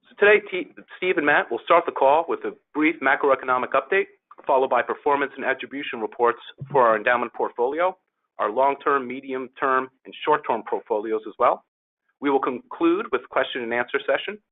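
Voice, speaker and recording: A man's voice: medium pitch, fairly quick. A man formally reading a scripted introduction as host of an investor conference call, presenting the speakers. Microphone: phone or webinar call. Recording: narrow tinny phone line.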